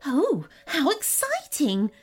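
Lady doing a sweet curious girl unicorn from a storybook, high lilting voice, encouraging. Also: sing-song, a little slow. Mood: excited. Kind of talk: storybook character voice.

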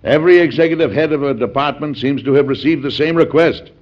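An actor playing a resolute, wily American president in a classic radio drama, stately and deliberate but good-humored. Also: male voice, fairly high pitch, natural voice. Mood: firm, formal. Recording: muffled old radio broadcast recording.

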